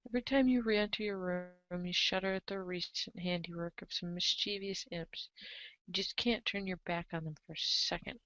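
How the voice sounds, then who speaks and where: A wry storybook narrator, played by a woman, with a slightly low voice. The delivery is scripted and theatrical, recorded close with no room sound. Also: steady tone, normal speed, fairly bright tone, dry recording, lightly acted, low-quality recording. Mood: wry narrating. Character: wry storybook narrator addressing the hero as you. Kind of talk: scripted second-person comedic narration.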